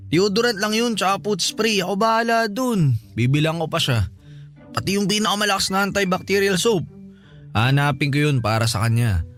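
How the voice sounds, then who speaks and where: A man's voice: fairly high pitch, fairly bright tone. An actor playing a persistent, lovestruck young man in a radio drama, playful and insistent. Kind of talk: Tagalog radio drama acting.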